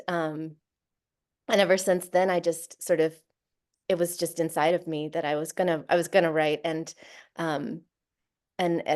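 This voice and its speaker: Woman author guest, lower pitch, relaxed thoughtful talk with fillers. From Central Florida, USA. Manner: thoughtful, many fillers, light laughs. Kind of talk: casual interview talk.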